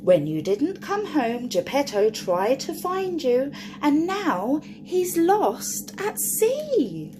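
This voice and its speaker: A woman acts out a little conscience cricket from a storybook. It scolds earnestly in a fairly high, deliberate voice, with clear noise in the background. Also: huge pitch swings, exaggerated voice. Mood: reproachful. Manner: reproachful, deliberate.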